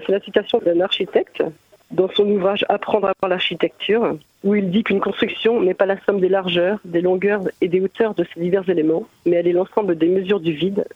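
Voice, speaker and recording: A woman answers radio questions as a visual artist. Her voice is husky and fairly low, and she explains things with frequent hesitant pauses. The sound is dry and narrow, like a phone line. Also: no room sound. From Mamers, France. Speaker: visual artist, interview guest. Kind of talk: conversational radio interview answers. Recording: muffled phone-like narrow band.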